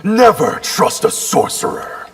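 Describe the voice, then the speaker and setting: An actor voicing a stern, cold, distrustful ninja warrior in a video game, terse and commanding. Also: male voice, sits high, big pitch swings, takes it slowly, a bit bright, slightly rough, full character voice. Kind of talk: video game character dialogue.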